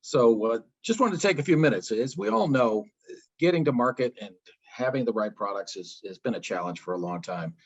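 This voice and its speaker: A man's voice: mid-range voice, lively intonation, brisk, breathy edge, lo-fi sound. A man giving a guest presentation in a business webinar, explaining steadily and asking rhetorical questions. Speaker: guest speaker, product lifecycle advisor.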